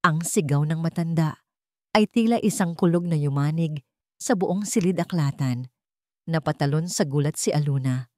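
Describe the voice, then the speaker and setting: A woman storyteller with a slightly low, mellow voice reads dramatic fiction in measured phrases. The sound is dry and close, with no room around it. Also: no echo. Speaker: storyteller, narrator. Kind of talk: dramatic scripted audio story narration.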